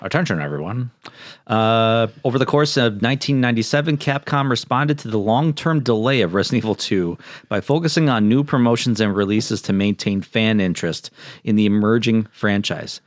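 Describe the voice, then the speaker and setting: A man with a fairly low voice co-hosts a podcast. He is informal and agreeable, chatting casually and at times reading out prepared notes. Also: fairly quick, dry recording, clean studio sound. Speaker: podcast co-host.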